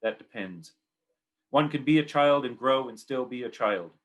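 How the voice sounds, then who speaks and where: A man's voice: mid-range voice, moderate pace, highs cut off. A man with a Canadian accent giving a poetry reading of his own work in short, clipped, repeating phrases.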